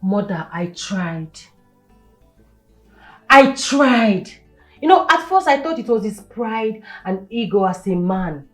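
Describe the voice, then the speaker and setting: A woman's voice: medium pitch, animated, takes it slowly, long pauses, reverberant, faint music behind. An actress playing a spoiled, vengeful young princess in a melodramatic film, petulant and emphatic, repeating her words. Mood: regretful, frustrated.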